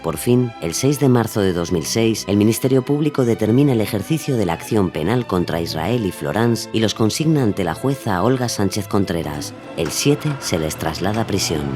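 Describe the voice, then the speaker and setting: A man's voice: on the low side, animated, moderate pace, hardly any pauses, a little mellow, faint music behind. A man narrating a scripted true-crime documentary.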